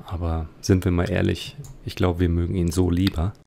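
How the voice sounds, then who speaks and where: Low, gravelly male let's player. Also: level tone, moderate pace, warm tone, slight background noise. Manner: musing, reflective. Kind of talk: casual game commentary. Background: game music.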